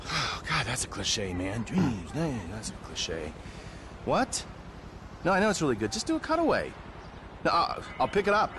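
A man's voice: mid-range voice, huge pitch swings, normal speed, background noise. An actor playing a vain, cocky male federal agent who presents his own TV show in a pompous, melodramatic way. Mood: irritated. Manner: pompous, self-satisfied, melodramatic. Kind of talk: hard-boiled TV presenting and off-camera bragging.

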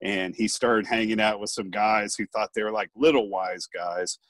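Middle-aged male host, low voice, dry close sound, casual rambling delivery on an earbud mic. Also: steady tone, normal speed, a bit bright, no room sound. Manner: rambling, many restarts and fillers. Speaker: podcast host. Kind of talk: casual video-call chat. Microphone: earbud mic on video call.

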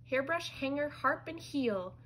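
A woman voicing an excitable, bossy little girl princess in a children's storybook, with a theatrical, exclamatory delivery. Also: high-pitched, measured pace, a little hiss or hum, voice pushed up, low-quality recording. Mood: chanting rhymes.

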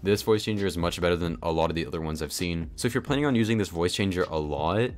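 A man narrating a casual software tutorial in a chatty, informal, explanatory way. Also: low-pitched, fast. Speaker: tutorial host. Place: voice-over on a screen recording. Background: background music.

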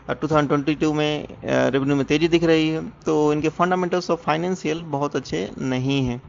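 Male, Indian accent, slightly high pitch, creaky voice, explanatory market-analysis narration, muffled recording. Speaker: presenter of a stock market education channel. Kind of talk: explanatory stock analysis narration over screen share. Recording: dull, muffled lo-fi voice-over.

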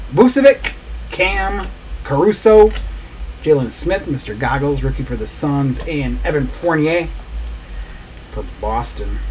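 A man's voice: a little high, a little hiss or hum, poor audio. Man calling out cards in a break. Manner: rattles off player names while opening packs. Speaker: card break host. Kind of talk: live card break commentary. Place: small indoor studio desk.

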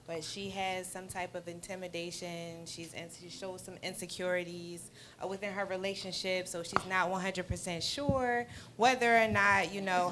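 A woman's voice: medium pitch, a little hiss or hum. A woman actress earnestly describing her role in a casual interview, speaking into a handheld mic in a restaurant. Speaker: stage play actress. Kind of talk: interview answers. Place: restaurant with wood-paneled walls.

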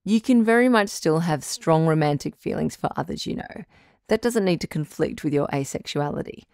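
A woman playing a calm, reassuring doctor who hosts a radio relationship advice show, speaking in a measured, counselling way. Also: deep voice, gravelly, dry recording, studio quality. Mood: gently advising. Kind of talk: audio drama radio call-in acting.

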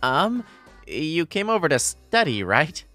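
A woman's voice: low voice, sing-song, slow pace, fairly bright tone, soft background music, heavily acted. A woman voicing an earnest, easily flustered teenage boy in a manga dub, narrating and speaking expressively. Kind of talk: manga dub dialogue and first-person narration.

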